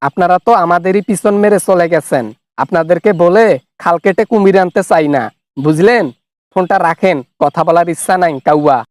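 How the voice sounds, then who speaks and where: A man's voice: high-pitched, a little fast, dry recording, natural voice. A man voicing a defiant, accusatory fugitive official in a comic cartoon phone argument. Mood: bitter. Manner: accusatory, threatening, colloquial. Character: angry, defiant fugitive male official, funny cartoon caricature.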